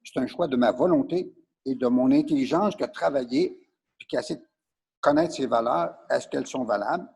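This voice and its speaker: A man with a Quebec French accent giving his opinions as a podcast guest, emphatic and insistent, with halting repetitions. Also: mid-range voice, vocal fry, darkish tone, slightly rough. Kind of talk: opinionated discussion.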